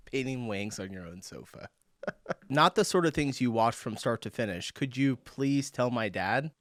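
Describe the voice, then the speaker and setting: A man's voice: mid-range voice, animated, no room sound, studio quality. A man hosting a reaction video, talking casually, swearing now and then and laughing easily. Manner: laid-back, swears casually, quick laughs.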